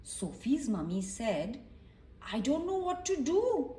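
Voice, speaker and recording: A woman's voice: high voice, sing-song, slow, mellow. A woman reading a storybook aloud to young children, explaining it simply and putting questions to the listener. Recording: roomy echo, distant from microphone.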